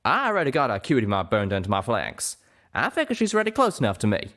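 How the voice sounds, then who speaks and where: A man doing a gruff drawling pegasus. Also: mid-range voice, huge pitch swings, quick pace, voice pushed up. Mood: gentle. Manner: drawling, scolding, forceful. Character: gruff male pegasus, protective, blunt.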